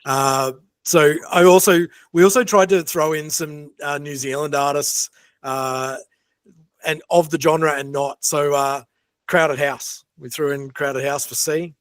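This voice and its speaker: Male podcast host, slightly high voice, dry studio-close sound, casual and loosely phrased questioning. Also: steady tone, a little slow, a bit bright, smooth, dry recording.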